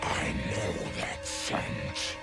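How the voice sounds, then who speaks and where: A man voicing a brutish, suspicious monster warrior with a gravelly growl in a video game cutscene. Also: extremely slow, over loud music. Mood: menacing suspicion.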